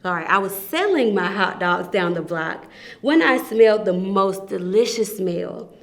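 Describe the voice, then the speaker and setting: A polite, hungry hot dog man out of a picture book, played by a woman reader. He savours and stretches his words, with an animated pitch and unhurried pace. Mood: hungry, admiring.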